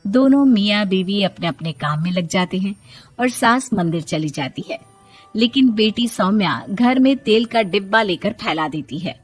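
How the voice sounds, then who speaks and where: A woman narrator reading a scripted moral story in a husky voice. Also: medium pitch, expressive, moderate pace, faint music behind.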